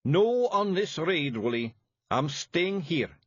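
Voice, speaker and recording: A man's voice: fairly high pitch, sing-song, takes it slowly, a bit bright, slightly rough, dry recording, dull audio, voice pushed up, heavily acted. A man voicing a bossy little fairy-man clan leader in a broad Scottish accent, blustering and commanding. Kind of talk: audiobook character voice.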